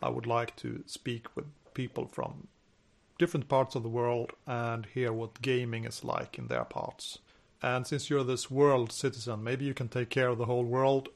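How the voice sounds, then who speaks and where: Man, interviewer, curious and hesitant, mid-pitched, creaky gravelly voice. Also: normal speed. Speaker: podcast host, interviewer. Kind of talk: casual interview.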